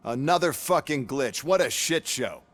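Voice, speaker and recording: A man's voice: medium pitch, expressive, normal speed, a bit bright. Sarcastic, bossy corporate man. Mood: irritated. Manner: sarcastic, condescending, foul-mouthed. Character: cynical, bossy male corporate overseer, smug and threatening. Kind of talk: video game cutscene acting.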